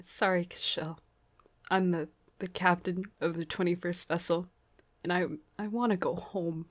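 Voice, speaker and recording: A woman's voice: lowish voice, steady tone, unhurried. A woman playing a determined, protective starship captain under strain in a radio drama, speaking with urgency. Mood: broken, tearful. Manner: urgent, resolute.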